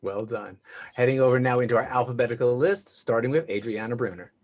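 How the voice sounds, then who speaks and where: A man's voice: medium pitch, fast talker. A man with a mid-range voice acts as host of a networking video call. He talks casually, as an emcee would, passing the floor along.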